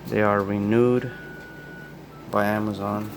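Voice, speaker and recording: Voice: deep voice, level tone, takes it slowly, noisy background. A person narrating an unboxing in a casual, laid-back, informal way. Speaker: unboxing video host. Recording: loud music behind the voice.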